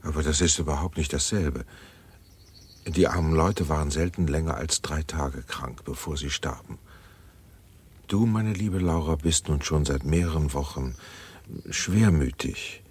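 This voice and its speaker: A middle-aged gentleman in a German radio play, a tender and anxious father. His voice is extremely deep and very raspy. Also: a little slow, heavily acted. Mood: worried, tender. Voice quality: very deep, gravelly and husky. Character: worried, tender older gentleman, a young woman's father.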